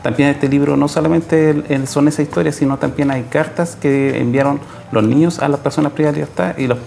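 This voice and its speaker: A man with a Chilean accent answering as an interview guest, explaining haltingly and rephrasing as he goes. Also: on the high side, fairly even intonation, creaky, warm tone, soft background music. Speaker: social worker and program coordinator, guest.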